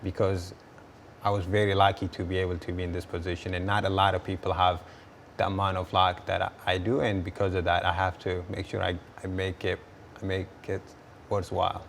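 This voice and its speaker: A man's voice: low-pitched, monotone, brisk, creaky, a bit bright, slight background noise. A young man, answering interview questions earnestly and often repeating words as he restarts. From Ethiopia. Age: 20s. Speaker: guest entrepreneur.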